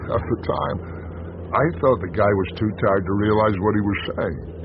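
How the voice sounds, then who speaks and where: A man's voice: fairly low pitch, normal speed, close to an everyday voice. An actor playing a world-weary, bitterly sardonic small-town American newspaperman in a radio drama, speaking with theatrical deliberation. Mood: grave, weary. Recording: dull lo-fi radio broadcast, noisy.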